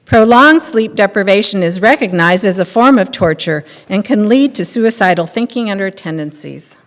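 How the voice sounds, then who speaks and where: A woman's voice: mid-range voice, animated, moderate pace. An older woman, over sixty, giving a scripted reading of testimony from a lectern. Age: 60s or older. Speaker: readers theater performer. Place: temple sanctuary at a lectern. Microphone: boom mic on a stand at lectern. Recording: echoey hall with long reverb.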